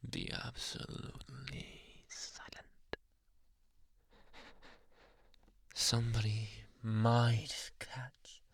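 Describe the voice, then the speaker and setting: Man acting a very slow, creepy possessive anime boyfriend. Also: a bit bright, no room sound, heavily acted. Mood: hushed threat. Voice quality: breathy whispering mixed with low, creaky voice. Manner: menacing, teasing, drawn-out coaxing endearments. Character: jealous, possessive, unhinged young boyfriend, anime yandere. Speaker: ASMR roleplay performer. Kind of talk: ASMR roleplay, slow intimate speech slipping into whispers. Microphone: close-up ASMR microphone, dry.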